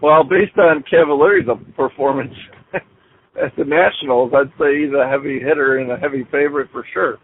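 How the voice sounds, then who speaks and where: A man's voice: mid-range voice, steady tone, normal speed. A man chatting casually as a guest on a phone call, thinking aloud in a conversational way. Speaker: guest, hobby club racer. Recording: noisy, tinny phone line.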